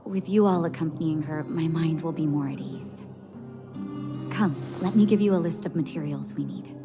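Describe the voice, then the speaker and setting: A woman voicing a gentle, composed doctor in a video game, speaking in a soothing, measured way. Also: deep voice. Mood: kindly, practical. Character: gentle, composed female doctor caring for an underground town. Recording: game music behind the voice. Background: music.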